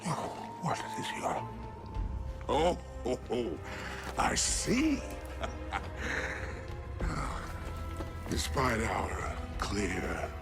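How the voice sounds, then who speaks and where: A gravelly, drawling alien crime boss. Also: male voice, fairly low pitch, heavily acted. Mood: scheming. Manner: mocking, theatrical, chuckling. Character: scheming alien crime-lord, male, large, sly and amused. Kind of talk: video game cutscene acting.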